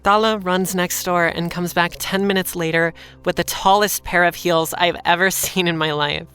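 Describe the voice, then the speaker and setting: A woman playing a wry, defiant working-class heroine, telling her own story deliberately in an audio drama. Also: fairly low pitch, steady tone, fairly bright tone, clean tone, soft background music. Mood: amused, admiring. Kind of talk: first-person audio drama narration.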